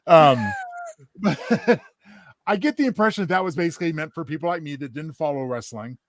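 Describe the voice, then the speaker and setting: Polite, hesitant man with a highish voice. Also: animated, moderate pace, no echo. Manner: polite, hesitant, roundabout phrasing. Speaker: podcast host asking questions. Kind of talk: casual interview chat.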